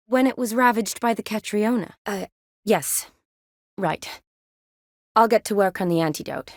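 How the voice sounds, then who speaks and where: A spirited young woman medic from a fantasy video game. She speaks in a natural, medium-pitched voice, caring but frank, with a teasing streak. The recording is dry and free of room sound. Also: expressive, measured pace, no room sound. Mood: matter-of-fact. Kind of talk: scripted game dialogue, naturalistic.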